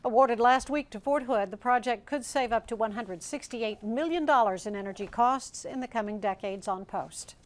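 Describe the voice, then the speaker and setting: American female newscast anchor, fairly high voice, scripted copy. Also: moderate pace, hardly any pauses. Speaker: TV news anchor.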